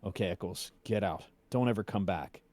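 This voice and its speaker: A man's voice: low voice, moderate pace, darkish tone. A man voicing a curt, suspicious safari guide who snaps out orders in a story reading. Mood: cold anger. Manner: snapping, commanding.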